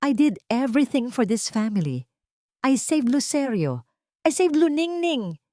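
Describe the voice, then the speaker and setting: A woman's voice: high voice, big pitch swings, measured pace, no room sound, clean studio sound, voice pushed up. A woman voicing a desperate, scheming villainess in an audio love drama, pleading and accusing as she justifies herself. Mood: desperate, defiant. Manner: shouting accusations and self-justifications. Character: desperate, gaslighting villainess, bitter scheming mistress.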